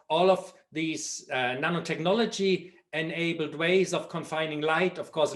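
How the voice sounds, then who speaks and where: A middle-aged man from Germany with a German accent, giving an academic seminar lecture with frequent fillers and restarts. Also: fairly high pitch. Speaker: professor giving a seminar talk.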